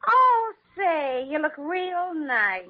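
An actress playing a fussy, soft-hearted American nurse with a sassy streak in a vintage radio drama. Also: female voice, very high voice, sing-song, extremely slow, heavily acted. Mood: wry. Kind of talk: 1940s-50s radio drama acting. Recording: dull vintage radio broadcast.

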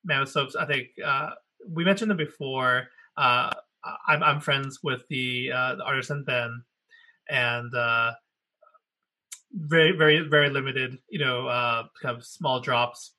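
A man's voice: mid-range voice, a little slow, bright, forceful tone, poor audio. A man co-hosting a casual talk show, rambling and correcting himself as he tells a story. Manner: rambling, self-correcting, laughs often. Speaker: podcast co-host. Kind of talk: casual chat.

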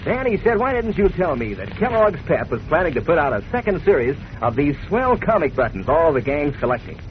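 Lively, folksy male radio pitchman. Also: brisk. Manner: chummy, folksy, enthusiastic. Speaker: sponsor pitchman. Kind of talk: folksy 1940s radio advertising. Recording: muffled old radio recording, faint noise.

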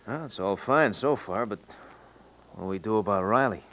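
A man's voice: fairly low pitch, lively intonation, moderate pace, lightly acted. An actor playing a blunt, offhand safecracker henchman in an old radio western. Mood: doubtful. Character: brusque safecracker henchman to a swindler. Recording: dull vintage radio recording.

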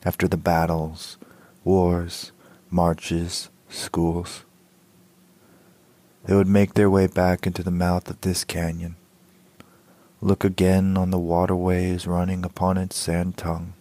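A man reciting a poem in a measured, solemn manner. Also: sits low, completely flat delivery, warm tone, dry recording. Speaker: poet. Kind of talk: poetry recitation.

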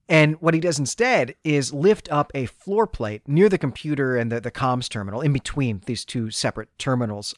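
A man's voice: mid-range voice, dry recording, studio quality. A man hosting a podcast, recapping a story in a conversational, explanatory way with wry asides. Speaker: podcast host.

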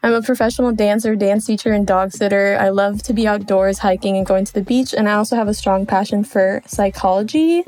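A woman's voice: medium pitch, steady tone, fairly quick, hardly any pauses, a little mellow, soft background music. A woman in her twenties, born in Argentina and raised in California, introducing herself as a dating show contestant. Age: 20s. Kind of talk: casual chat.

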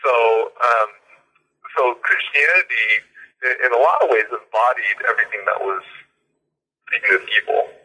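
A man's voice: mid-range voice, expressive, moderate pace, pauses often, pushed and bright, breathy edge, low-quality recording. A man, an author being interviewed, explaining in a thoughtful way with frequent fillers and repeated words. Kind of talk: conversational interview.

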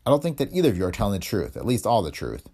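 A man's voice: on the low side, huge pitch swings, rapid-fire, mellow, slightly rough, full character voice. A man acting a blunt, intimidating police chief. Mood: grudging, warning. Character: gruff shrewd police chief, threatening but grudgingly amused. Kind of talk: hardboiled detective audiobook dialogue.

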